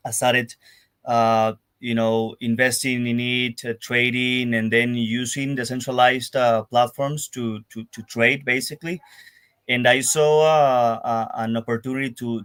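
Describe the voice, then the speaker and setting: A man from Venezuela, a guest explaining his security firm's work in a casual interview, talking haltingly with many restarts and fillers. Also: medium pitch, fairly bright tone. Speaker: guest, security firm partnerships manager. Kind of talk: casual interview on a live audio space.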